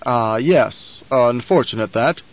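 A man's voice: medium pitch, slow pace. Man voicing a haughty, scheming nobleman villain, muffled audio. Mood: smug, guarded. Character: menacing, calculating, self-important obese male nobleman villain.

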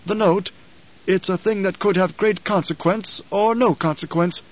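A man voicing a loyal old advisor in an audiobook, grave and deferential, with a measured, formal, hesitant delivery. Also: high voice, fairly even intonation, lo-fi sound, voice pushed up, close to an everyday voice. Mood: grave, careful. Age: older.

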